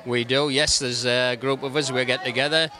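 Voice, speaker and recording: A man's voice: medium pitch, little pitch movement, moderate pace, pushed and bright. Chatty Middlesbrough man in his fifties. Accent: Middlesbrough English. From England. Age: 50s. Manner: chatty, good-humoured, reminiscing. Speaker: guest. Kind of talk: casual interview chat. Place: racecourse pavilion.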